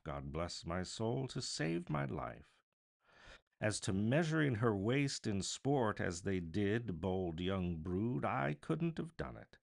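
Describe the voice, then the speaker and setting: A man reading a classic story aloud as a voice artist, with theatrical, expressive narration. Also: sits low, creaky. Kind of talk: dramatic live audiobook narration of a classic novel.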